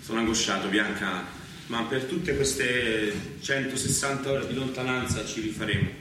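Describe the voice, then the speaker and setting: A man's voice: medium pitch, moderate pace, lightly acted. A man playing an anguished, disgraced army lieutenant, pleading and evasive, in a stage drama. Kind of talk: live stage-style Shakespeare drama acting in Italian. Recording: noisy, echoey hall, distant microphone.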